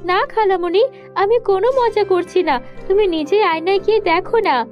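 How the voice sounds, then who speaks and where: A woman's voice: very high voice, moderate pace, highs cut off, soft background music, voice pushed up. A woman voicing an earnest, reassuring young girl in a cartoon, speaking insistently.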